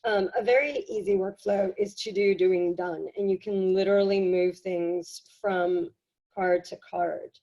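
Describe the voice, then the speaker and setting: A woman giving a casual software tutorial, explaining in a halting, conversational way, slightly hoarse from a cold. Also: mid-range voice, fairly even intonation. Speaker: workshop presenter. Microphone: video call.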